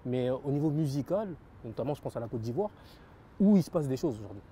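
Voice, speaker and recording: A man's voice: mid-range voice, expressive, fast, warm tone, a little hiss or hum. A young French man giving earnest interview answers about his rap label, repeating phrases and using many fillers. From France.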